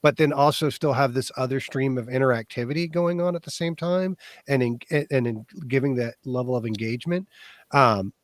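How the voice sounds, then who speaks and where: A man with a raspy voice hosting a casual stream chat, easygoing and halting, with many fillers. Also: mid-range voice, no echo, studio quality. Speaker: host.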